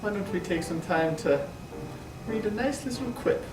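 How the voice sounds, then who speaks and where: A woman's voice: medium pitch, lively intonation, moderate pace. A woman introducing and starting to read a letter aloud. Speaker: staff member reading aloud. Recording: echoey room, distant mic, music behind. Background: music.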